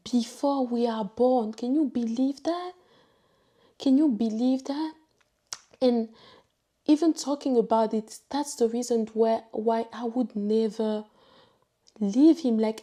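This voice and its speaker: A young woman from Ivory Coast with a French accent, telling a personal faith testimony in a halting way, with many fillers and restarts. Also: a little high, mellow. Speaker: vlogger sharing a testimony.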